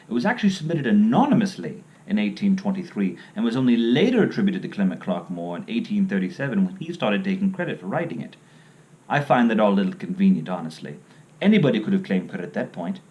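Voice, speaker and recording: A man reading and chatting as a storyteller, theatrical and expressive with a tongue-in-cheek, playful manner. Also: on the low side, dull audio. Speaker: storyteller, host. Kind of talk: dramatic poem reading with comic playful asides.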